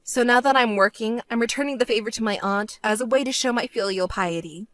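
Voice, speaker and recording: A woman's voice: a little high, fairly quick, a bit bright, natural voice. A woman voicing a stern, fed-up sister with a grudge in a dramatized story, cold, firm and scolding. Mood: firm, resentful.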